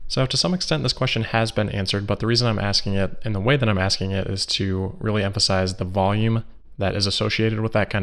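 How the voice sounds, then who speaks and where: A man hosting a solo investor news podcast, giving scripted commentary in a measured, analytical, explanatory way. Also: fairly low pitch, a bit bright.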